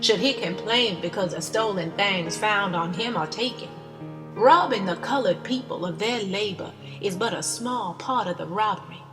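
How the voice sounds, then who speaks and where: A woman's voice: medium pitch, close to an everyday voice. A woman playing an earnest, insistent mother of a Civil War soldier, reading her plain-spoken letter as drama. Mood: indignant. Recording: long reverb, clear music behind.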